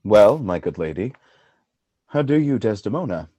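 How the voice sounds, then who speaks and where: An actor playing a proud, jealous general in a Shakespearean radio play, declaiming grand verse in a measured, volatile way. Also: male voice, on the low side, big pitch swings, warm tone, a little hiss or hum. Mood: brooding suspicion.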